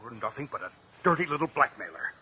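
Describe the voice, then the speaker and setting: An actor playing a blustering, corrupt local politician who sounds cornered and exasperated, in a vintage radio crime drama. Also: male voice, mid-range voice, sing-song, fast, sharp tone. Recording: noisy old radio drama recording.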